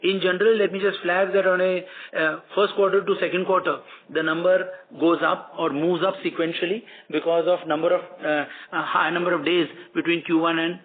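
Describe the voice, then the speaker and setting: A man with an Indian accent presenting company results as an executive on an earnings call, formal and measured, with frequent hesitations. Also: high voice, little pitch movement. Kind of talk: prepared earnings call commentary. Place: conference call. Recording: tinny phone-line conference call.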